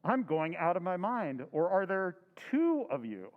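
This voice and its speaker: Man playing a henpecked husband, flustered, excitable, high animated voice, long hall reverb. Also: a little slow, narrow, tinny audio. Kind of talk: old-time radio drama recreation.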